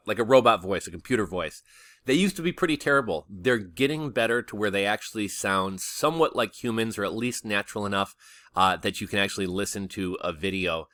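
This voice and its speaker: A man's voice: fairly low pitch, a bit bright, no echo, clean studio sound. A man hosting a casual news rundown, talking in a conversational, opinionated and skeptical way. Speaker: video host, news commentator.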